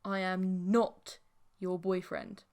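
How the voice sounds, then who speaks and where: An awkward young man played by a woman in an audiobook tale. He is earnest and hesitant, a reluctant boyfriend quick to take offence. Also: lowish voice, vocal fry, darkish tone. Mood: firm.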